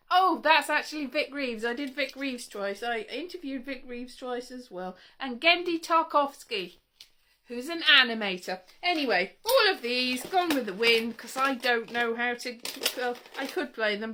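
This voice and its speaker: A woman with a high-pitched, bright voice speaks in an informal vlog monologue. She is chatty and wry, wandering from one thought to the next and cutting herself off as she goes. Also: expressive, a little slow. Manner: chatty, wry, self-interrupting. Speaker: vlogger showing old interview tapes. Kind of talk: casual rambling monologue to camera.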